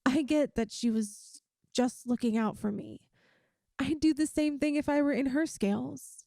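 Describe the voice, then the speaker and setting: Woman voicing a high-pitched playful lamia girl. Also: a little slow, gravelly, warm tone, no echo, studio quality. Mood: warm, reflective. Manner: teasing, chatty, giggly. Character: playful affectionate young lamia, cold-blooded best friend. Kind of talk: ASMR roleplay, one-sided intimate conversation.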